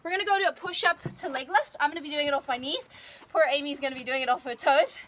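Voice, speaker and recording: High, loud-voiced woman coaching a workout. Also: fast talker. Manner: upbeat, counting down and cheering on. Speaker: fitness trainer. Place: outdoors in the sun. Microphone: phone.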